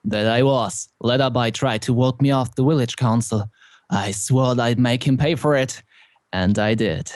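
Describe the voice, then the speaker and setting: A man's voice: medium pitch, measured pace, a little mellow, no echo, natural voice. An actor playing a deranged, gloating village postman and bell-ringer in an old-time radio drama, rustic and melodramatic. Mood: gloating, proud.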